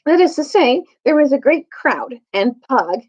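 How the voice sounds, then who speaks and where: High-pitched, animated woman reading a storybook. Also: unhurried, dull audio. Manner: stumbles over longer words. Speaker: storytime reader. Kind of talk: children's storybook read-aloud.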